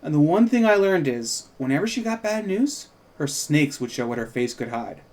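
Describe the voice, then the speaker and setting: A man plays a self-assured woman reporter in a script read-through. The voice sits fairly high and keeps an everyday, probing manner, as if questioning someone who is holding back. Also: big pitch swings, normal speed, a little mellow.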